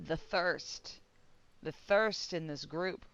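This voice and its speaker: A woman reacting casually to a video game on a livestream, slangy, sweary and expressive. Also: on the low side, slow pace, clean tone, muffled recording.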